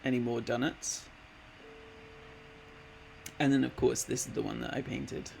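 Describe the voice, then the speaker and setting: A man's voice: medium pitch, steady tone, measured pace, vocal fry, darkish tone, a little hiss or hum, low-quality recording. A man in his late twenties chatting casually on a live stream, rambling with lots of fillers and easily delighted. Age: late 20s. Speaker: art streamer.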